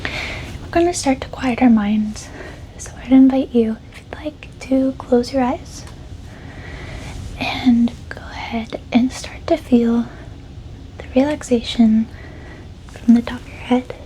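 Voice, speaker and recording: Very slow, soft breathy woman guiding meditation. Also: fairly high pitch, rough recording. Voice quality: soft, breathy, often near-whisper. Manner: gentle, unhurried, soothing instruction. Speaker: host, meditation guide. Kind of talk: soft-spoken ASMR guided meditation.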